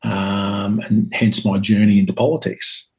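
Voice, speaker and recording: Scottish guy in his 50s, lowish voice, kinda flat, lots of ums while he thinks. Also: vocal fry. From Scotland. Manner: hesitant, many ums and pauses. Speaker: guest. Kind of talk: reflective conversational storytelling.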